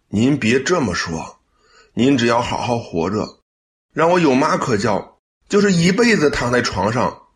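A man's voice: mid-range voice, a little hiss or hum. A man acting a devoted, caring young adult son in a Chinese radio drama, speaking earnestly and expressively.

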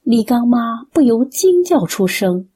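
A woman's voice: a little high, very animated, measured pace, mellow, slight background noise. A woman narrating a scripted drama in a storytelling style.

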